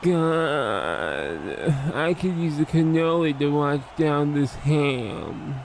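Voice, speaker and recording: Man voicing a high-pitched, gushing mobster. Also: expressive, extremely slow, creaky, heavily acted. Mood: carefree. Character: gleeful, greedy, dim male mob boss. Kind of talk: comedic radio play acting. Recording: noisy background ambience.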